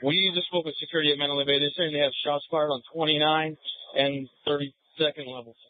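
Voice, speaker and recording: A man's voice: fairly high pitch, steady tone, fast talker, dry recording. A male police officer giving an urgent emergency report over police radio. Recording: narrow tinny scanner radio.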